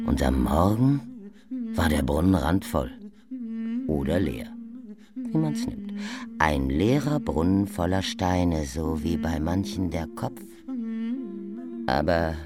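A man's voice: deep voice, exaggerated voice. An actor playing a grim, brooding, bitter man in a radio drama, theatrical and emphatic. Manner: theatrical, emphatic, swinging from murmur to outburst.

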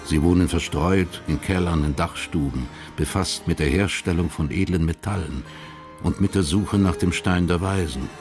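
The sober narrator of a historical crime story on radio. His voice is deep. He reads the script deliberately and factually, with some soft music around it.

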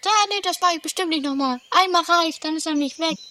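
A mischievous, flippant oddball, piercingly bright and squeaky high, with a breezy stammer. The amateur 1980s radio comedy recording has faint noise. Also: female voice, fairly quick. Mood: mock-innocent. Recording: slightly noisy 1980s amateur radio play.

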